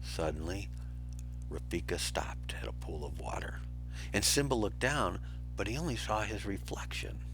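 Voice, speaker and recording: A man reading a children's story aloud in an expressive, deliberate, dramatic way, as a teacher does for pupils. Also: lowish voice, faint music behind.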